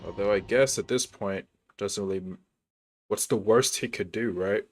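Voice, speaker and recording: A streamer commenting over a game in casual, sarcastic, exasperated asides. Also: male voice, lowish voice, normal speed.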